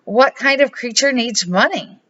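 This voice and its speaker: A woman's voice: a little high, measured pace, fairly bright tone, pitched above the performer's own voice. An older woman voicing an eager, questioning young boy in a children's storybook. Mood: puzzled. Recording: dull lo-fi home recording.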